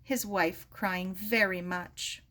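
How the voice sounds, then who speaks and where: Woman voicing a humble immigrant man, halting. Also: medium pitch, steady tone, bright, forceful tone. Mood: sorrowful, subdued. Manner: broken English, halting and polite. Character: gentle, humble older Japanese immigrant man, sorrowful.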